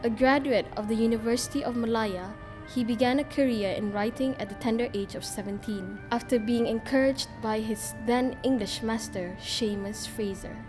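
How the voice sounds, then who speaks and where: Female host voice-over, formal scripted intro, slightly high-pitched, music bed behind. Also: normal speed. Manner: formal, reading a prepared biography. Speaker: interview host narrator.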